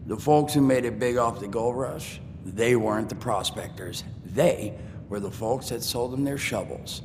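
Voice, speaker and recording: An actor playing a wisecracking male ghoul trader in a video game, talking in a joking, streetwise drawl with a gravelly voice. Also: medium pitch, close to an everyday voice. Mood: scheming. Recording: game audio with long reverb and noise.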